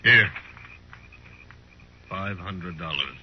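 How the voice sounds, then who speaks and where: Through heavy noise and a muffled vintage radio sound comes a stern, deep-voiced Cheyenne man. His English is clipped and stilted. He is distrustful and menacing, wasting no words in this old Western drama. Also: drawn-out words. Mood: grim, menacing.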